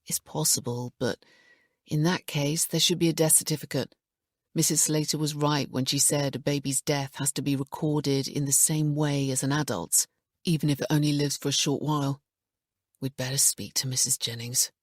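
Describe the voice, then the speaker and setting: Woman reading a soft-spoken lady detective, patient, low husky voice. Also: steady tone, dry recording, studio quality. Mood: gentle. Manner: gentle, measured and patient. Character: calm, sensitive female police detective.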